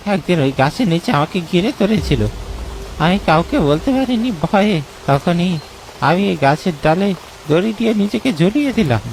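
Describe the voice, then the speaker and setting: A man voicing the anguished ghost of a bullied boy, plaintive and accusing, in an animated horror story. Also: high-pitched, moderate pace, a little hiss or hum, voice pushed up, low-quality recording. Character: anguished vengeful ghost of a bullied boy who hanged himself.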